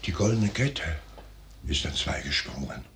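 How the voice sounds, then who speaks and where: An actor playing a loyal, cautious old commandant, deferential and earnest, in 1950s radio drama style. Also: male voice, rumbling low, sing-song, measured pace, background noise, heavily acted. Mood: respectful, grave. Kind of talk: 1950s German radio drama stage acting.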